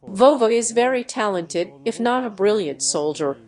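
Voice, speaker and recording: A computer-generated woman's voice dubs the whole video in a mid-range pitch. Her phrasing is stiff and even, with the slightly odd word choices of a machine translation. The voice-over is clean and close. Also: normal speed. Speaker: AI dub voice for every speaker.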